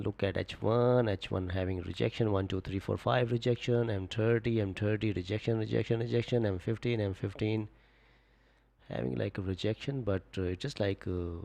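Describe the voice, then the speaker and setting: Gravelly low-voiced man coaching traders live. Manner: relaxed, repetitive fillers, coaching viewers. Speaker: forex trading streamer. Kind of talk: live stream chat reading viewer comments.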